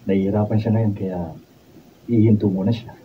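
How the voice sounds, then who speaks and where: A dull, faintly noisy recording of a man acting as a subdued young Filipino fiancé. He speaks low and hesitantly in radio drama style. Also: level tone, fairly quick, far from the mic, lightly acted.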